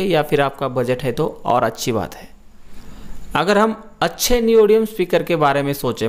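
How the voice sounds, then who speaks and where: A middle-aged man gives an explanatory talk to camera. His voice sits slightly high and is notably smooth and clear. He goes through things methodically in plain language, speaking into a lapel mic. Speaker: presenter of an audio equipment channel.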